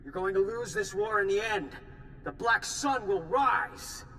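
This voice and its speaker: A sneering gangster, echoing. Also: male voice, expressive, unhurried, a bit bright. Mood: menacing, threatening. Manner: sneering, boastful. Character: defiant, contemptuous male career gangster in custody. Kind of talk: video game character dialogue. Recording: reverberant hall with rumbling noise.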